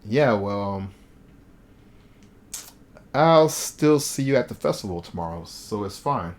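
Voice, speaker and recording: A man's voice: fairly low pitch, expressive, slow pace. Man reading as a curt, flustered schoolgirl. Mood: flustered, brusque. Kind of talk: visual novel character reading.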